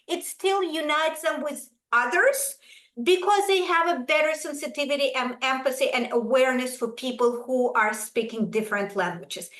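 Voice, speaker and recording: A middle-aged woman from Ukraine with a Russian accent, a native Russian speaker, giving an animated, emphatic presentation to parents. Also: high-pitched. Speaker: curriculum presenter. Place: school event room. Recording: echoey room.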